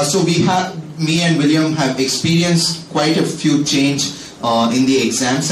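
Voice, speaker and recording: A man's voice: mid-range voice, slight background noise. A young man giving a chatty career talk to students, with joking asides. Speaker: alumni speaker. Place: packed lecture hall. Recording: echoey hall, distant mic.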